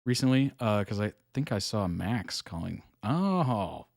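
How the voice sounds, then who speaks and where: A man hosting a reaction video, giving relaxed, chuckling commentary in short approving remarks. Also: lowish voice, measured pace, creaky, dry recording, studio quality.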